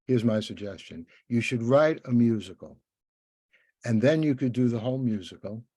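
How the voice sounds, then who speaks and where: An older man hosting a casual interview, talking in a halting, rambling way with many restarts. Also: mid-range voice, lively intonation, warm tone, husky, no echo, studio quality. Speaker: interviewer, podcast host.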